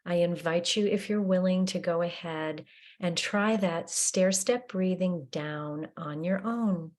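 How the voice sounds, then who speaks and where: A woman guiding a breathing meditation, her voice a little low and her delivery very unhurried. She speaks gently and soothingly, leaving long pauses between cues.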